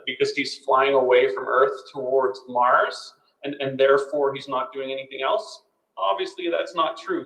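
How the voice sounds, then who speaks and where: A man's voice: mid-range voice, a bit bright. A man speaking as a panelist, explaining and thinking aloud in an unscripted discussion. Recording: roomy, echoing sound.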